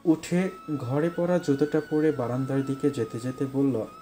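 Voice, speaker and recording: Male narrator for romantic audio fiction: warm, mellow, mid-pitched storytelling over soft music. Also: moderate pace.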